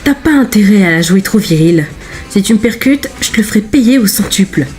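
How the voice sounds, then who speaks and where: A woman voicing a sharp-tongued young female bird racer in an amateur cartoon fandub, curt and threatening. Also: medium pitch, animated, fairly quick, faint music behind, natural voice.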